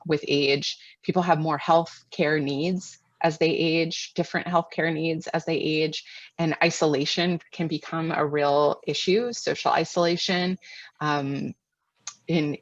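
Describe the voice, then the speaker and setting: An American woman answering webinar questions as a guest expert, in a measured, earnest, explanatory way. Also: low voice. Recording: muffled lo-fi webinar audio, roomy echo.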